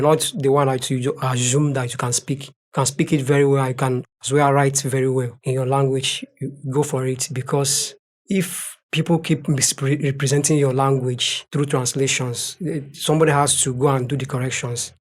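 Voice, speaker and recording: Man, Nigerian accent, native Igbo speaker, young adult, earnest, monotone, podcast guest. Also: medium pitch, normal speed. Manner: earnest, repeats words while thinking. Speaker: guest translator. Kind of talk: podcast interview answers.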